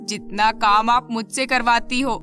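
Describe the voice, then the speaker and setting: Young daughter in a cartoon fable, played by a woman: earnest, kind, put-upon, bright high voice. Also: steady tone, measured pace, faint music behind. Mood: aggrieved. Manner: earnest, pleading. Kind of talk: animated children's moral story.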